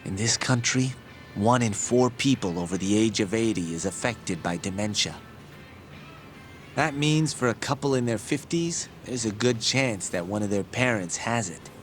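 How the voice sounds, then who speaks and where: A male actor voices a learned medical expert in a game drama, with a fairly low male voice. He explains patiently and earnestly, articulate and measured, with a wry teasing edge. Music plays behind him. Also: expressive, natural voice. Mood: earnest, persuasive. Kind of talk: English video game dub, explanatory dialogue. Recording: background music under the voice.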